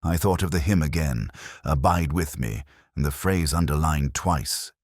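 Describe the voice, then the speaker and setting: A man playing a brooding older detective inspector, narrating an audio drama in a measured, reflective, literary way, solemn and wry. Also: extremely low pitch, no room sound, clean studio sound. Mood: melancholy, wry.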